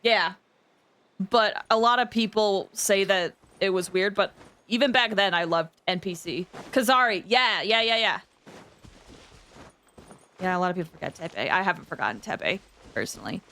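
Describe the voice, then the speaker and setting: A woman hosting a livestream, chatting casually with viewers in an expressive, emphatic way. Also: mid-range voice, moderate pace, pauses often. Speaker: livestream host.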